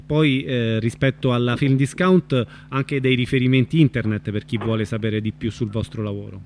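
A man's voice: mid-range voice, steady tone, normal speed, darkish tone, slight background noise. A man with a mid-range voice hosts an informal radio interview. He builds his questions piece by piece, in a tentative and slightly fragmented way.

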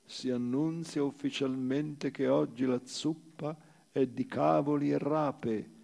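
A man giving a solemn, measured dramatic recitation of literary prose on stage, with deliberate pauses. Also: mid-range voice, steady tone, gravelly, dark tone. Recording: live theatre recital with long hall reverb.

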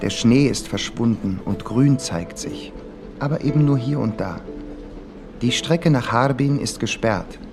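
A man's voice: mid-range voice, measured pace, vocal fry, music in the background. A man playing an observant traveller, reading diary entries as narration in a radio play. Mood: reflective.

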